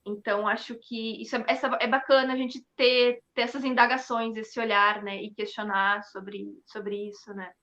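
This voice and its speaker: A woman talking reflectively as an interview guest, haltingly, thinking aloud with frequent checking tags. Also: a little high, flat delivery, sharp tone. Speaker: theatre company member, interview guest.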